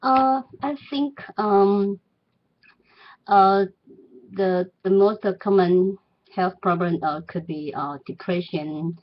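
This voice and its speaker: A woman's voice: medium pitch, takes it slowly, lo-fi sound. A woman from Taiwan, a student answering with hesitant English and many fillers.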